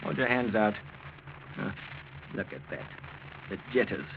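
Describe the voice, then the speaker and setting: A man's voice: on the low side, lively intonation, a little slow, gravelly. An actor playing a suspicious, sour old grandfather in a 1940s radio drama, needling people with sardonic, clipped questions. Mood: mocking. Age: older. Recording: muffled, noisy 1940s radio recording.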